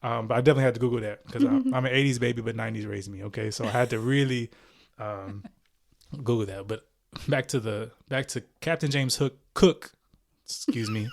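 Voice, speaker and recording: A man's voice: medium pitch, creaky. A man in his forties hosting a podcast, reading a guest's introduction in a chatty, playful way, with fillers and self-corrections. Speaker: podcast host.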